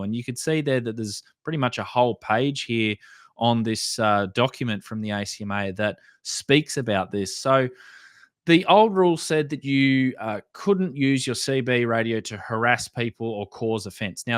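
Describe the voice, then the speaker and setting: A man's voice: medium pitch, dry recording, clean studio sound. A man with an Australian accent hosting and explaining a document in a plain-spoken, informal way, working through it point by point. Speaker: host of a radio hobby channel.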